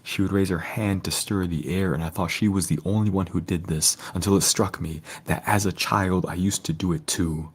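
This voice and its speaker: Deep, husky man reading poetry. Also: fairly even intonation. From Detroit, United States. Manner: solemn, measured, lyrical delivery. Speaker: poet. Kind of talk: spoken-word poetry reading.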